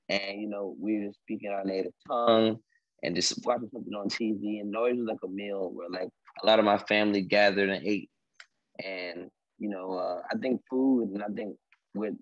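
A young man, a native Haitian Creole speaker, talks haltingly about his art on a video call, with many fillers. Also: on the low side, no room sound. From Washington, D.C. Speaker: artist. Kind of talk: video call artist talk. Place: room at home. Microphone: laptop video call. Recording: dull video call audio.

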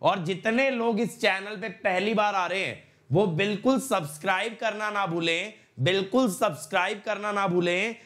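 High-pitched teacher with a sore throat. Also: male voice, moderate pace. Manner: repetitive, energetic, coaxing viewers with "my brother". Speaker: exam-prep educator. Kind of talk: online live class intro, Hindi-English mix. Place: studio in front of a slide screen.

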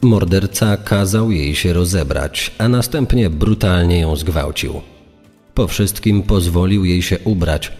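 A man with a very low voice narrates a true-crime script. His voice rings in long reverb, with music behind it. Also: a little slow, darkish tone.

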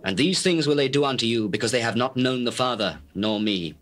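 An actor playing a solemn male teacher addressing his disciples in measured, declamatory scripture drama. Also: mid-range voice, expressive, fairly bright tone, close to an everyday voice. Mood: grave, warning. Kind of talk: dramatized King James scripture reading.

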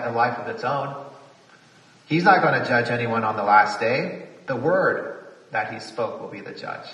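A man's voice: mid-range voice, fairly bright tone, reverberant, big-room sound, distant mic, low-quality recording. A man, a priest, preaching a reflective homily at a retreat, repeating phrases for emphasis.

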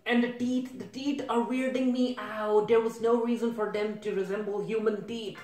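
A man's voice: high-pitched, level tone, moderate pace, darkish tone, soft background music. A young man giving casual, bemused reaction commentary, asking questions and repeating himself as he goes. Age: young adult. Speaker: reviewer reacting to a cartoon. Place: small home room with a desk. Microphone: camera a few feet away. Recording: roomy, distant microphone.

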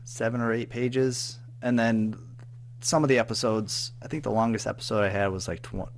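A man speaking as an interview guest in casual chat, hesitant, halting and self-effacing as he talks about his own work. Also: mid-range voice, level tone, a little hiss or hum. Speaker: guest, audio drama writer and producer.

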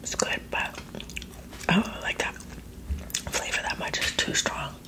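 A woman in her thirties whispering in a hushed, breathy ASMR style, close to the microphone. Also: normal speed. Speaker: ASMR creator tasting candy. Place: small indoor setup with fabric backdrop. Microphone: close tabletop microphone.

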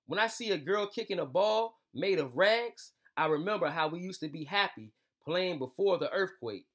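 A man's voice: sits high, lively intonation, normal speed, fairly bright tone. A young man hosting a children's read-aloud show, speaking informally and straight to his young listeners. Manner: informal, slangy, addressing young listeners directly. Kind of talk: casual intro and storybook read-aloud. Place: small room indoors.

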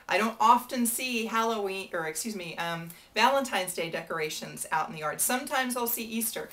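Woman, 30s, American auctioneer; casual, friendly to-camera tips, small indoor room, mid-pitch voice. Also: fairly bright tone. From United States. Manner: friendly, chatty, gestures with her hands. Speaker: benefit auctioneer giving advice. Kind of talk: casual to-camera advice talk.

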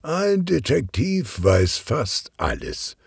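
A man's voice: on the high side, very animated, slow, gravelly, slightly rough, dry recording, clean studio sound, pitched above the performer's own voice, exaggerated voice. A man voicing a good-natured older male police detective in a children's audiobook, slightly bashful and knowing.

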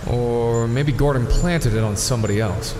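An actor playing a grim masked vigilante hero in an audio drama, speaking in clipped, serious lines. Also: male voice, medium pitch, moderate pace, a little mellow, a little hiss or hum, lightly acted. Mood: grim, focused. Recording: echoing cave reverb, faint music.

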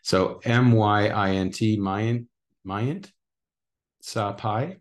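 Deep-voiced man hosting a video call. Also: extremely slow. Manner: apologetic about pronouncing names. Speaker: workshop host. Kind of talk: casual online meeting introductions.

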